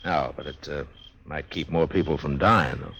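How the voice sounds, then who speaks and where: An American man playing a steady, probing Old West marshal in a 1950s radio western, measured and understated with hesitant pauses. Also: rumbling low, full character voice. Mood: probing, grave. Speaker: radio drama lead actor. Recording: vintage 1950s radio recording.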